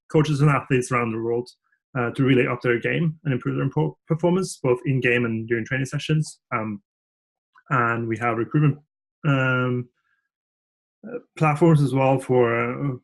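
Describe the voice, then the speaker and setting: A man from Norway, an engineering director, answering questions in a podcast interview, pausing often with ums and restarting sentences. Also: mid-range voice, poor audio. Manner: relaxed, thoughtful, many ums and restarts.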